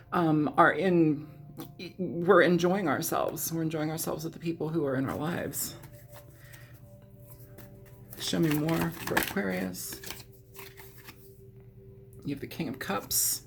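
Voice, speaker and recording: Hoarse low-voiced woman with a cold. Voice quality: scratchy, wheezy throat. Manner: chatty, meandering, frequent throat clearing. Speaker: tarot reader. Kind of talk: casual tarot reading monologue.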